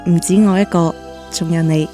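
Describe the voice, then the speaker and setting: An actress playing an earnest, easily flustered young woman in a radio drama, girlish and expressive, with a Hong Kong Cantonese accent. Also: lowish voice, normal speed, over music, lightly acted. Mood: tender, reflective. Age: young adult. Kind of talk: radio drama acting with inner monologue.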